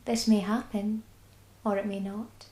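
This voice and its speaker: A woman with a Scottish accent guiding a body scan meditation, speaking slowly and soothingly. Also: medium pitch, flat delivery, roomy sound. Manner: slow, soft and soothing. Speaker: mindfulness guide.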